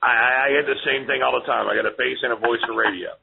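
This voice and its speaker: A man's voice: mid-range voice, fairly even intonation, rapid-fire, gravelly. A man in his forties co-hosting a casual talk show, bantering in a joking, laid-back way. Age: 40s. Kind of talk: casual chat. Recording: tinny lo-fi radio stream with faint hiss.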